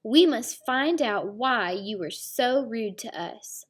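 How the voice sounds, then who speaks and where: Woman as an earnest storybook dog, slightly high. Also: lively intonation, takes it slowly, husky, full character voice. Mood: resolute. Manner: blurting, earnest. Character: earnest, apologetic big-eared dog.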